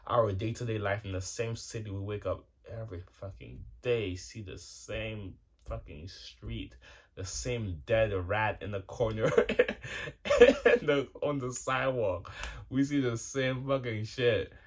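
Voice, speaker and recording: Young dude vlogging, kinda low voice, swears a ton, keeps restarting his sentences. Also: unhurried. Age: 20s. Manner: loose, profane, lots of restarts and fillers. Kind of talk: casual rambling monologue to camera.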